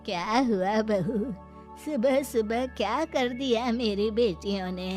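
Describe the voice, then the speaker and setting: Woman acting a slow, fretful cartoon mother-in-law. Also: on the high side, darkish tone, faint music behind. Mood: exasperated. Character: exasperated older mother-in-law. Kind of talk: cartoon story character acting.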